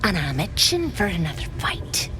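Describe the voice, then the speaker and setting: A woman's voice: on the low side, sing-song, moderate pace, noisy background. A woman voicing a boastful, scornful fighter in a fantasy video game. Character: tough, boastful female raider spoiling for a fight.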